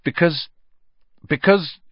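A male narrator plays a schoolboy of about twelve in an audiobook. The voice is high and earnest, with an anxious, defensive hesitancy. It is recorded dry. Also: animated, normal speed, slightly rough, dry recording, lo-fi sound.

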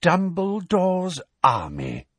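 A man voicing a pompous, excitable middle-aged government minister in an audiobook, blustering and sputtering with self-importance. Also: high voice, takes it slowly, breathy edge, dry recording, pitched above the performer's own voice, heavily acted. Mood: stunned.

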